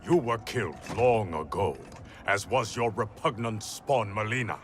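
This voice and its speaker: A man's voice: on the low side, measured pace, fairly bright tone, slight background noise. A man playing a proud, dignified warrior king in a cinematic video game, speaking formally and with regal firmness. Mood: defiant.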